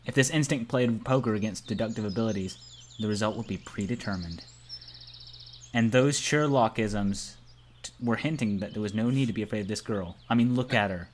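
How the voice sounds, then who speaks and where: A man's voice: lowish voice, a little fast, slight background noise. A man reading a visual novel aloud among friends, narrating informally and tripping over the script now and then. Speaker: narrator of a let's play. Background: faint game music.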